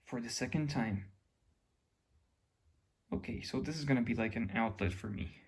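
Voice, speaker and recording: A man's voice: mid-range voice, normal speed. A young man with a Mexican accent talking to camera in an unscripted, reflective ramble, often restarting and hunting for words. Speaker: YouTuber.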